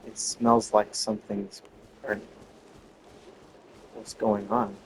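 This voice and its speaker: Casual male gamer, lightly low voice. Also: flat delivery, slow, background noise. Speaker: gamer playing and commenting. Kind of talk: casual live gameplay commentary.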